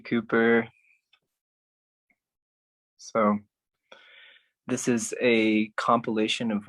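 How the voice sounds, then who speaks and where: A man performing his own writing as a spoken-word solo artist, speaking deliberately with pauses. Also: on the low side, poor audio. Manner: slow, deliberate delivery with pauses.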